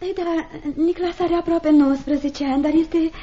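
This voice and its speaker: A dull radio theatre recording of an anxious, genteel widowed mother. Her voice is high and dark-toned, sighing and pleading as she tries to placate everyone. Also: fairly even intonation. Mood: plaintive, pleading. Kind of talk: Romanian radio theatre acting. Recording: dull, band-limited old radio drama recording.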